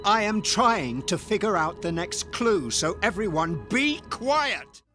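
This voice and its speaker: A man's voice: high voice, big pitch swings, takes it slowly, pushed and bright, soft background music, exaggerated voice. An actor playing a curt, condescending male villain, a menacing kidnapper hunting treasure in an audio drama. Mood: irritated, bitter.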